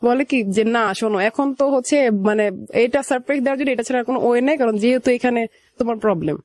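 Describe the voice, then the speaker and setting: A woman's voice: a little high, racing, darkish tone, no echo. Young Bangladeshi woman with a dry, close sound. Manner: conversational, quotes past dialogue as she narrates. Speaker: guest telling own life story. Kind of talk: personal storytelling in a radio interview. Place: radio studio.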